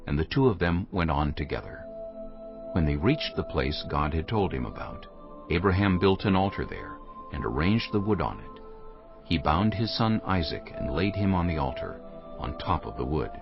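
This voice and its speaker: A man narrating a dramatized Bible as a scripted story, solemn and clearly phrased. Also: a bass voice, very animated, normal speed, dull audio, over music.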